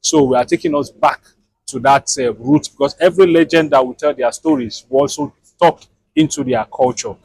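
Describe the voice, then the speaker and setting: A man with a Nigerian accent explaining his theatre project in an interview, setting out his points deliberately. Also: on the high side, expressive, gravelly, darkish tone, husky, no room sound, rough recording.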